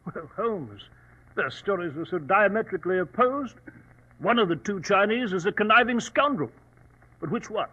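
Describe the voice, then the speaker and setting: High-pitched blustery British gentleman. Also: expressive, moderate pace. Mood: questioning. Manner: blustery, exclamatory, puzzled. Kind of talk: old-time radio drama acting. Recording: dull, faintly noisy vintage radio recording.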